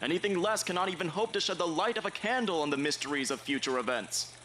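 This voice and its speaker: A man's voice: a little high, lively intonation, quick pace, sharp tone, a little hiss or hum. A man playing a stern, scornful headmaster of a magic academy in an audio drama, scolding in a formal, haughty way. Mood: scornful anger.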